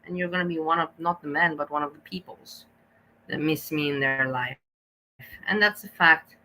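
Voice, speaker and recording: A woman's voice: deep voice, normal speed, sharp tone, slight background noise, rough recording. A woman with an Austrian accent giving a profane, scolding rant while reacting to clips. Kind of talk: ranting reaction commentary.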